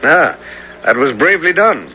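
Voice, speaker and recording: An actor playing a hearty outlaw leader with declamatory delivery in an old-time radio drama. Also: male voice, fairly high pitch, very animated, normal speed, sharp tone, heavily acted. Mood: hearty, admiring. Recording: dull, noisy radio recording.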